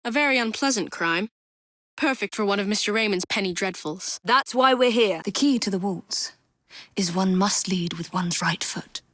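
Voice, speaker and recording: A woman acting as a young Victorian assassin in a video game, with an English accent and crisp, formal, composed diction. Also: mid-range voice, fairly bright tone, no room sound, clean studio sound, natural voice. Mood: dry, polite. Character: young female Victorian assassin, brisk, poised and determined. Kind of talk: scripted game character dialogue.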